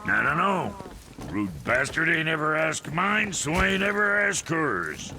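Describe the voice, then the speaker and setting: A gravelly, foul-mouthed game blacksmith who brags about his craft and swings his voice wildly from growl to yelp, speaking slowly. Loud ambient noise from the game sits under him. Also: a little high, sing-song. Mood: boastful. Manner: crude, rough grammar, loud exclamations. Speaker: smith in a video game.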